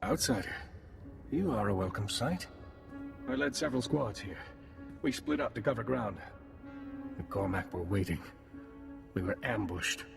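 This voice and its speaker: A man's voice: on the low side, lively intonation, normal speed, creaky, long pauses. A man playing a grim, war-weary alien squad leader in a video game, speaking tersely and solemnly, with long reverb and music.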